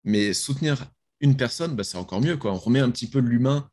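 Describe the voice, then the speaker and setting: A young man from France talks about his app in a relaxed, slangy way, often restarting his sentences. His voice sits at a middle pitch. He is heard through a headset from a small bedroom. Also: fairly quick. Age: young adult. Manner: casual, slangy, many restarts. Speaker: app founder guest. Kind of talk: casual podcast interview. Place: small bedroom with gaming chair.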